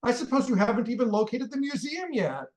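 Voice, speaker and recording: An actor playing an impatient older American millionaire who barks orders and scolds. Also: male voice, very high voice, sing-song, darkish tone. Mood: scolding. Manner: barking, scolding, rapid-fire orders. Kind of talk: radio play acting.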